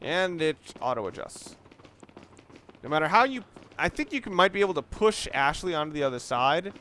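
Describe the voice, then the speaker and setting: A man's voice: fairly high pitch, sing-song, normal speed, bright, forceful tone, slight background noise. A man doing casual, rambling video game commentary, informal and opinionated, with some mild swearing. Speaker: let's play commentator.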